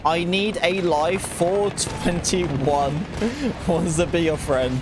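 Young male streamer, casual and chatty with a light stutter, talking over loud game audio. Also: on the high side, slow, background noise. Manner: chatty, thanks viewers, stutters at times. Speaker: gaming livestreamer. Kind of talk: casual livestream chat while playing. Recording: game sound mixed loud behind voice. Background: game gunfire and explosions.

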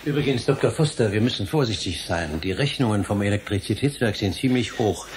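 A male actor plays an anxious academic who stubbornly clings to hope. His agitated, doubting radio drama delivery moves widely in pitch. There is faint noise in the background. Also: animated, fairly quick, close to an everyday voice. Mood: cautious, worried. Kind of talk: German radio drama acting.

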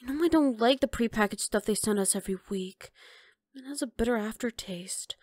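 Woman acting a high-voiced, teasing vampire girl. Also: fairly quick, a little mellow, dry recording, studio quality, close to an everyday voice. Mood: earnest, gentle. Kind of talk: ASMR roleplay.

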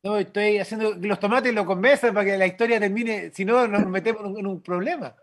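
A man with a husky voice speaks on a video call. He is improvising as a participant in a radio play workshop. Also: high voice, steady tone, fast talker.

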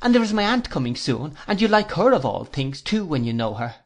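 A narrator voicing an earnest young gentleman in love, speaking fondly and coaxingly. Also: mid-range voice, big pitch swings, a little fast, voice pushed up. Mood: fond, soothing. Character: lovestruck, earnest young gentleman soothing his sweetheart.

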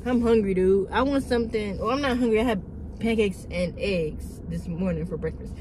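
A woman's voice: medium pitch, normal speed, a little mellow, a little hiss or hum, poor audio. A woman in her early twenties chatting casually to her phone camera from a parked car, rambling with lots of "like" and "dude". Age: early 20s. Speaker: vlogger. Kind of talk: casual chat while sitting in a car. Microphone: phone camera on dashboard.

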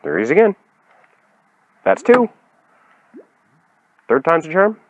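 A young man vlogging from a small boat on a lake, narrating his fishing and getting loudly excited at every catch. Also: fairly high pitch, takes it slowly, dull audio, a little hiss or hum. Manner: excitable, whoops and laughs at strikes.

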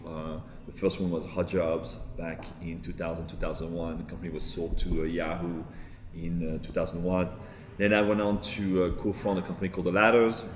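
A man with a French accent speaks in a low, grounded voice, steady and fluent with the occasional um and repeat. He sits in a large open-plan office, and the sound rings with a long, roomy reverb. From France. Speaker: entrepreneur guest. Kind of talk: seated interview, telling his story.

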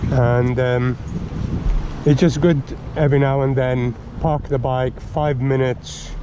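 A man's voice: medium pitch, steady tone, slow. A man vlogging from his motorcycle, chatting casually and rambling with many ums as he rides outdoors. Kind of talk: casual narration while riding. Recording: dull helmet mic with wind and traffic noise. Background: wind and traffic noise.

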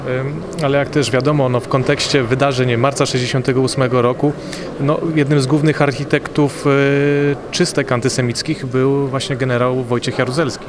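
A man's voice: medium pitch, moderate pace, noisy background. A male reporter asking long interview questions with some hesitations. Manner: flat, reads long questions with fillers. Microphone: handheld mic.

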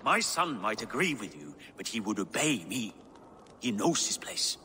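A man's voice: on the low side, sing-song, normal speed, pushed and bright, slight background noise. A man voicing an aggrieved older Viking king in a video game, stern and commanding, with formal, reproving speech. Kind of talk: dramatic video game cutscene acting.

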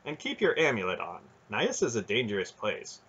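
A wise old grandfather, voiced by a man narrating a fantasy book, talks in a calm, reassuring, fatherly way. His voice is husky and the recording is dull and lo-fi. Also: medium pitch, normal speed, heavily acted. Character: wise older male sorcerer grandfather, calm.

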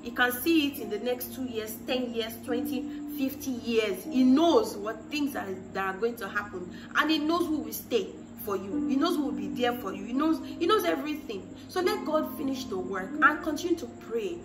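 A woman's voice: a little high, expressive, normal speed, few pauses. A woman in her thirties talking to the camera, giving earnest faith-based relationship advice and repeating key phrases for emphasis. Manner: earnest, preachy, repeats phrases for emphasis, acts out quoted voices. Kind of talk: direct-to-camera advice talk. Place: small room against a plain wall. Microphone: camera in front, chest-up framing. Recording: roomy sound from a distant mic with music behind.

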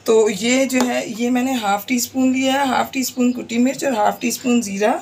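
A woman's voice: on the high side, steady tone, fairly quick, darkish tone, a little hiss or hum. Chatty Pakistani Urdu-accented woman cooking. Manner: chatty, repeatedly points things out to viewers. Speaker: cooking channel host. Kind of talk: home cooking tutorial voice-over. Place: home kitchen.

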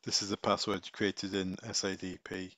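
A man giving a casual step-by-step software tutorial, thinking aloud as he works. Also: low-pitched, level tone, moderate pace, noisy background.

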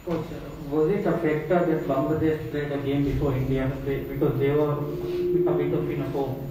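A man's voice: on the high side, level tone, moderate pace, dark tone. A man speaking as a reporter, asking a question at a press conference. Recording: echoey room, distant mic, faint noise.

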